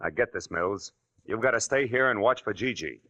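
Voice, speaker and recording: An actor playing a tough, wry private detective with an American accent in 1940s radio crime style, terse and wisecracking. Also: male voice, lowish voice, lively intonation, normal speed, pushed and bright, muffled recording. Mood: hard, commanding. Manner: hard-boiled, terse, wisecracking. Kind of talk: 1940s radio crime acting, first-person narration. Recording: old radio drama recording.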